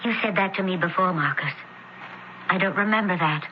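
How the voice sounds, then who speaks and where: An actress playing a devoted, anxious young Roman woman in a radio drama, with dramatic pauses. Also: lowish voice, close to an everyday voice. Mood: dazed. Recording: muffled, faintly noisy radio broadcast.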